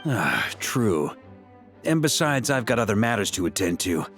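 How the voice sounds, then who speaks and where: A man playing the grand master of a knightly order in a video game, relaxed and teasing in his authority. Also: on the low side, moderate pace, soft background music. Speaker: knight commander. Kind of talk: video game cutscene dialogue.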